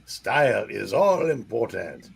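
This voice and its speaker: A man's voice: on the low side, very animated, a little slow, creaky, husky, heavily acted. A man voicing a pompous, vengeful French villain with a French accent, grandiose and theatrical, reading a comic book aloud. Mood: pompous, lecturing. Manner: grandiose, theatrical, stilted phrasing. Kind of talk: hammy comic-book read-aloud performance.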